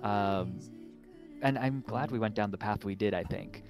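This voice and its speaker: A man's voice: lowish voice, a little slow. A man speaking as a game developer guest in a casual Q&A over an online call. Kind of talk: casual chat in a Q&A. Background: guitar music.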